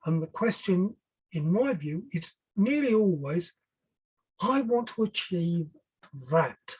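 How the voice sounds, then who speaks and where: An older man with a somewhat husky voice gives an informal expert talk. He speaks haltingly, repeating and rephrasing as he explains, in the manner of a guest on an online webinar. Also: high voice, expressive, darkish tone, poor audio. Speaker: guest speaker, loudspeaker engineer. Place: online video call.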